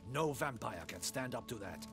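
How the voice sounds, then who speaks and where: An actor playing a proud, blustering captain of the guard in a video game, with a formal, pompous and scornful manner. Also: male voice, mid-range voice, animated, moderate pace, gravelly, music in the background. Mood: boastful, indignant. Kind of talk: video game cutscene acting.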